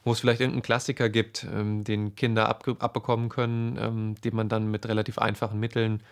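A young man speaks as a father in a personal interview. His voice is somewhat low with plenty of fry, and he feels for his words as he recollects. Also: little pitch movement, quick pace.

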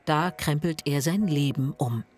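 A woman reading scripted voice-over narration for a TV report in a measured way. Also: low voice, darkish tone.

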